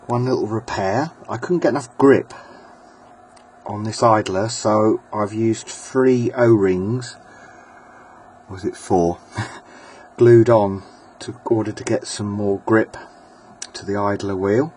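A man giving a casual, plain-spoken and slightly halting hobbyist demonstration, presenting his own equipment. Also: on the low side, darkish tone. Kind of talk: casual hobbyist demo narration. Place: indoors at a workbench. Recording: clear background noise, amateur camera audio.

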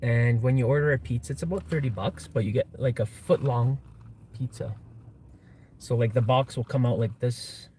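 A young Canadian man whose first language is Italian talks in an easygoing, friendly way, now and then searching for a word. His voice is of middle pitch. Faint hiss sits behind him in a parked car at night. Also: normal speed, mellow. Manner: easygoing, friendly, a little hesitant. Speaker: guest. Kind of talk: casual chat. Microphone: phone on a mount in the car.

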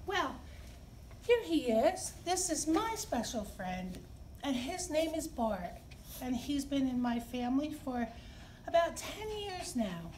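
A middle-aged woman speaks to young children as a story time host, with simple, expressive phrasing. Her voice is high and her pace unhurried. She is recorded indoors from a little way off, with noticeable background noise. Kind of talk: read-aloud and talk for young children. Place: indoor library children's room. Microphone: camera a few feet away. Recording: distant camera mic, clear background noise.

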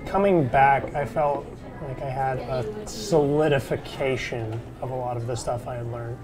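A man in his twenties, a former exchange student, speaking in a halting, thoughtful way with many restarts. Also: medium pitch, a crowd in the background. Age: 20s. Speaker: former study abroad student. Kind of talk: casual interview talk. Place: small shop, indoors.

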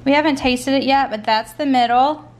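A woman's voice: sits high, normal speed, slight background noise. A woman in her thirties chatting through a cook-along in her home kitchen, often calling the viewers y'all. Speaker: cooking vlogger. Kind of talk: casual cook-along narration.

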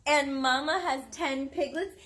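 A young woman doing an animated picture-book read-aloud for her toddler, playful, sing-song and encouraging, chatting to the child as she goes. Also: sits high, a little slow. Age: young adult. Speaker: mother reading storytime to her toddler. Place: outdoor backyard patio. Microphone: camera a few feet away.

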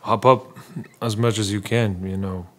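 Man, 30s, low monotone, halting speech, many fillers, postgame press conference, table mic. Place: small press room. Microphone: table mic in front.

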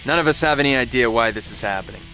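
An actor playing a weary but cocky American infantry soldier, narrating in wry, slangy style for a game cutscene. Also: male voice, medium pitch, rapid-fire, bright, forceful tone, soft background music, close to an everyday voice. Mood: grim, wry.

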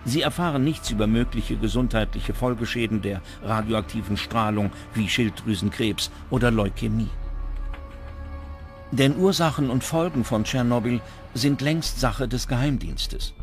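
A man's voice: mid-range voice, normal speed. A man reading scripted documentary narration as the narrator. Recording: music bed under the voice. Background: prominent background music.

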